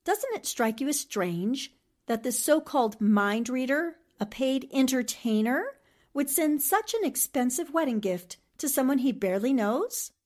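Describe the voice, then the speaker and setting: Woman acting a poised, high-voiced lady sleuth. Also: expressive, unhurried, a little mellow. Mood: curious. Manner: polished, arch, teasing endearments. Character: poised, shrewd, witty newspaperwoman amateur sleuth. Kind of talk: 1940s radio drama acting.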